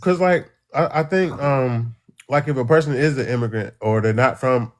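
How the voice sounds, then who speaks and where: A man calling in with his opinion, earnest and halting, full of restarts and fillers. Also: mid-range voice. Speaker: caller. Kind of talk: casual opinion on a call.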